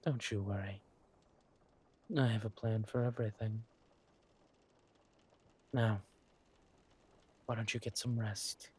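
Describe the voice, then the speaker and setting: A man playing a suave, ruthless villain lord in an audio drama, mock-polite and menacing, with a husky voice and drawn-out delivery. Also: lowish voice, little pitch movement. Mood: tender, assured.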